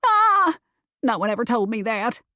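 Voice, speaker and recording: A woman's voice: lowish voice, lively intonation, a little slow, dry recording. Lady doing a bossy cat for an audiobook, folksy n sassy, dry sound. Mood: screaming.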